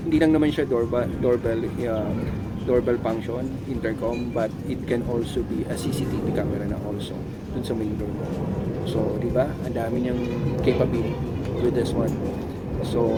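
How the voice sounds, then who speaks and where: A man's voice: mid-range voice, heavy background noise. A man with a Filipino accent giving a casual, explanatory product tutorial, often checking in with short tag questions. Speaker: tech tutorial presenter. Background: music.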